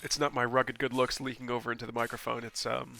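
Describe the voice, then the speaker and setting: A man casts a strategy game replay alone at his desk mic, his voice bright but stuffy and scratchy from a cold. He rambles casually with plenty of ums and self-corrections, then jumps up in pitch when a play surprises him. His pitch sits in a middle range for a man. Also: fast, gravelly. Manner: bursts of excitement at plays. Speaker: game caster. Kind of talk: solo replay commentary.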